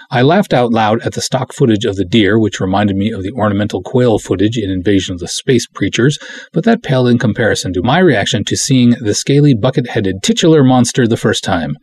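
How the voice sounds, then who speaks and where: A man hosting a podcast solo in a casual, rambling, self-deprecating way, chatting and reading things aloud with a husky voice. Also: fairly low pitch, a little mellow. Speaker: podcast host.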